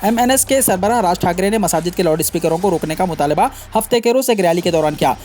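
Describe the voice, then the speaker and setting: A man's voice: high-pitched, a little mellow, a little hiss or hum. A man reading scripted news in a formal manner, delivering headlines and stories as a news reader.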